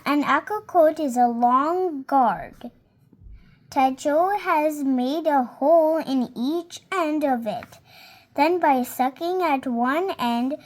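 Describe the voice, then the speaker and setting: A young reader slowly and carefully reading a picture book aloud, now and then stumbling on a word and fixing it. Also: female voice, high-pitched. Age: child. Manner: slow careful reading with small slips and self-corrections.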